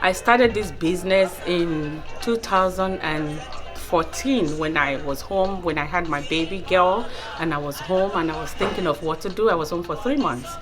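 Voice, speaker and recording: A woman's voice: on the low side, normal speed. A woman from Nigeria with a Nigerian accent, a small business owner talking plainly in a documentary interview. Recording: music playing underneath.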